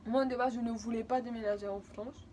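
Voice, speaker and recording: Woman, twenties, Spanish accent, native Spanish speaker, rambling emotional storytelling, higher voice, faint noise. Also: steady tone, a little fast, mellow. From Spain. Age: 20s. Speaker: vlogger. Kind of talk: personal storytelling to camera.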